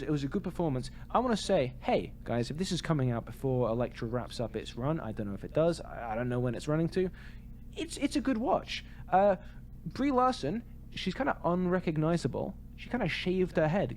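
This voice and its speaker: A man's voice: on the high side, very animated, a little fast, a little mellow, a little hiss or hum. A man co-hosting a podcast in casual chat, with a jokey, wry way of talking. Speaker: podcast co-host.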